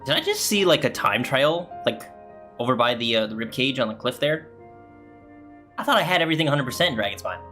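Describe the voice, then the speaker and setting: A man's voice: mid-range voice, big pitch swings, brisk, bright, forceful tone. A man giving casual, rambling, laid-back commentary as he streams a game. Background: game music.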